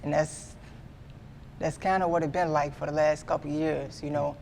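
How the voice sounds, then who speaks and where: A man in his twenties giving a candid sit-down interview, earnest and halting, often repeating himself as he restarts. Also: on the high side, level tone, creaky, a little mellow, slight background noise. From Midwestern US. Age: 20s. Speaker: guest artist.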